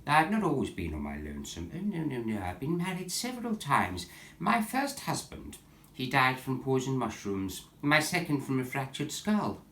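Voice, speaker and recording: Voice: mid-range voice, huge pitch swings, moderate pace, fairly bright tone. A performer playing a saucy older pantomime dame, telling cheeky jokes in a theatrical monologue. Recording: echoey room.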